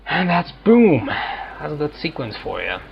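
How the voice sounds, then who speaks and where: A man's voice: fairly high pitch, big pitch swings, a little slow, gravelly, lo-fi sound, slight background noise. A man giving casual let's-play commentary as a gaming streamer.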